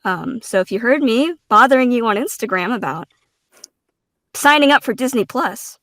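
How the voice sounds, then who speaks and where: A woman's voice: on the high side, lively intonation, moderate pace, fairly bright tone, dry recording, clean studio sound. A woman voice actor introducing herself casually as a panel guest, in a joking, self-deprecating way.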